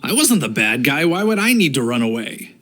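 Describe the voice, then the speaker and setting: A game town guard with a fairly high male voice. He is earnest, self-important and a little timid, telling things dramatically over music. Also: sing-song, brisk, sharp tone. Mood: boastful, dramatic. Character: boastful but timid city guard, earnest storyteller. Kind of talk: video game voice acting.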